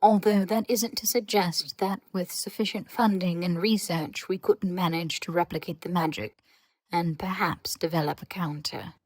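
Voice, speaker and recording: A woman playing an aloof, sarcastic researcher who lectures in a measured, formal and dry way in an audio story. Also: lowish voice, fairly even intonation, a little mellow, no echo, natural voice, rough recording. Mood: measured, lecturing. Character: aloof, sarcastic, scholarly government researcher speaking through voice-disguising charm. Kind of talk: scripted audio fiction dialogue.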